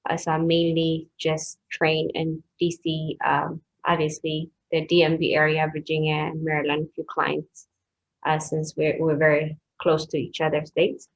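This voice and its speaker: A young woman from Ethiopia, a personal trainer, talking as a guest in a soft, hesitant, casual way. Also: low voice, monotone, moderate pace. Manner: soft-spoken, hesitant, laughs easily. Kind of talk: casual interview chat. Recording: dull lo-fi remote audio.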